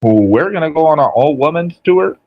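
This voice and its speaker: A man's voice: on the high side, lively intonation, moderate pace, dry recording, muffled recording. A man in his thirties on a podcast, blunt and opinionated, cursing freely. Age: 30s. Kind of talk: casual podcast chat.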